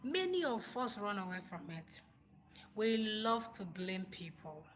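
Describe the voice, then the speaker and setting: Bright-voiced Nigerian woman, emphatic motivational livestreamer. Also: mid-range voice, big pitch swings, unhurried. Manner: emphatic, repeats phrases for stress, rhetorical questions. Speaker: livestream host, motivational speaker. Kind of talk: informal motivational talk to live viewers.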